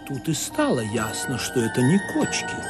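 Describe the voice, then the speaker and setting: A man narrating a radio play in an ironic, expressive storytelling manner. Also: mid-range voice, normal speed, over loud music.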